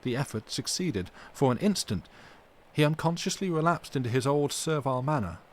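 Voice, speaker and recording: A man narrating a gothic novel aloud for a livestream, in a measured, expressive storytelling manner. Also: mid-range voice. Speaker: audiobook reader on a livestream.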